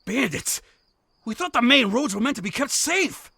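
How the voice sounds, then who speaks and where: Man acting a gravelly, theatrical bandit ringleader. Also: very high voice, big pitch swings, normal speed, pushed and bright, no room sound, heavily acted. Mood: frantic, pleading. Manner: theatrical, pleading then threatening. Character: scheming bandit ringleader posing as frantic merchant. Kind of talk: visual novel voice acting.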